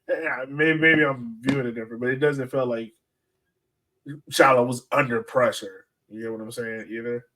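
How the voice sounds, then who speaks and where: A man's voice: medium pitch, fairly even intonation, moderate pace, bright, forceful tone, low-quality recording. Male sports podcast host, middle-range voice, informal conversational delivery. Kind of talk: casual sports discussion.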